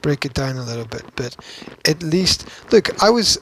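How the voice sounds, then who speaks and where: A man hosting a casual podcast, talking in a halting, opinionated and argumentative way with emphatic stresses. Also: fairly high pitch, big pitch swings, fairly bright tone, slight background noise, rough recording. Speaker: podcast host.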